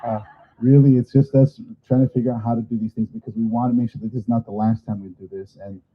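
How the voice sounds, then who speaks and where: A hoarse man hosting a school ceremony in a casual, apologetic way with many fillers. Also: medium pitch, steady tone, quick pace. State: hoarse, losing his voice. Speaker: master of ceremonies at a school event. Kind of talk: informal event hosting. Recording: tinny phone-like sound.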